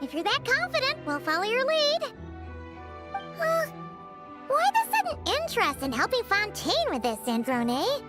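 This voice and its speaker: Tiny fairy companion: childlike, chatty, very high and animated, video game. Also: female voice, moderate pace, full character voice. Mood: curious. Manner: talks of herself in third person, exclaims often. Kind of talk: video game character acting. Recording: loud background music. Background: tinkling children's game music.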